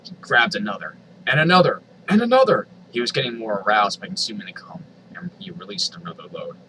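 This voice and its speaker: A man's voice: lowish voice, very animated, quick pace, bright, forceful tone, a little hiss or hum, poor audio. A man reading a story aloud dramatically, with exasperated, sardonic asides. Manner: exasperated, sardonic, swears freely. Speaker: reader of online fan fiction.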